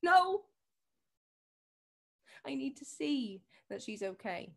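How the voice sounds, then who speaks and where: High-voiced, insistent British sister played by an actress. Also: female voice, very animated, slow, vocal fry, a bit bright, heavily acted. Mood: bargaining, insistent. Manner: insistent, scornful. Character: worried, determined sister confronting a drug dealer. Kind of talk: acted scene from a drama showcase.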